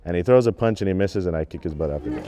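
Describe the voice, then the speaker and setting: A man's voice: deep voice, lively intonation, very fast, warm tone. A young man talking casually in an interview as a student actor, plain-spoken and a little hesitant. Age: young adult.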